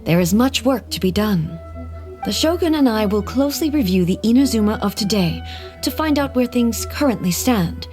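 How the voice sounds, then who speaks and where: A woman playing a dignified female ruler deity in a video game, formal, measured and resolute. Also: fairly high pitch, lively intonation, a little mellow, soft background music, natural voice. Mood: solemn, resolute. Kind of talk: video game character acting.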